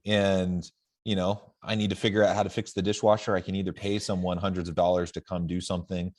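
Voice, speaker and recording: A man with a very low voice hosts a relaxed interview. He talks conversationally and agreeably, thinking aloud in a somewhat halting, reflective manner. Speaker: podcast host, university professor.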